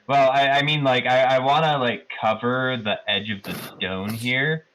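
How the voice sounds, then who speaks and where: A man's voice: medium pitch, fairly even intonation, moderate pace, a bit bright, lo-fi sound, slight background noise. A man playing a tabletop roleplaying game, improvising casually and thinking aloud in a hesitant way.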